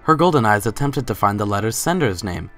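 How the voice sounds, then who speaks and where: A man's voice: on the low side, expressive, fairly quick, fairly bright tone, soft background music. A man giving a scripted dramatic reading as the narrator of a story.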